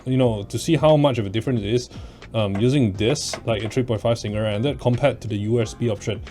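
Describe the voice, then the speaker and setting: A man's voice: mid-range voice, a little fast, mellow. A man giving a casual product review in a blunt, opinionated, casually sweary manner. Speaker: tech reviewer.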